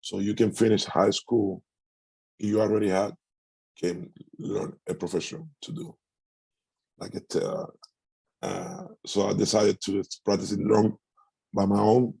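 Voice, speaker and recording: Deep gravelly Cuban-accented man. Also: little pitch movement, darkish tone, no echo, rough recording. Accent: Cuban Spanish. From Cuba. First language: Spanish. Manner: halting, with frequent restarts and fillers. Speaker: musician guest. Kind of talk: interview answers.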